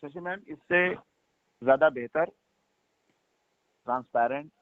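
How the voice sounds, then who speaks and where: A man's voice: on the high side, a little slow, dry recording. A man on a phone call, speaking for a company, making his points insistently and repeating them for emphasis. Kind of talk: persuasive phone conversation. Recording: tinny phone line.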